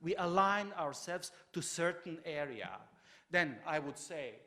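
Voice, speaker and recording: A fairly high-voiced man lectures in a lively, rising and falling tone. He stumbles through many fillers and restarts. His voice carries a long reverb from a large lecture hall. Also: a little slow. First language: Croatian. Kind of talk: public lecture.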